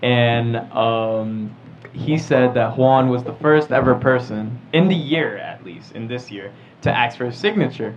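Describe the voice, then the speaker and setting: A man's voice: mid-range voice, lively intonation, a little slow, lo-fi sound, a little hiss or hum. A teenage boy from New York chatting casually and telling stories as a podcast co-host. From New York, United States. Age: teens. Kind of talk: casual chat, storytelling.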